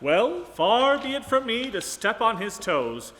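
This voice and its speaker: A man's voice: very high-pitched, sing-song, unhurried, fairly bright tone, slight background noise, full character voice. A man playing a plainspoken, good-hearted, hesitant farmhand in a comic radio play. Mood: wistful. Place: live on stage in a ballroom. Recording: echoey live hall.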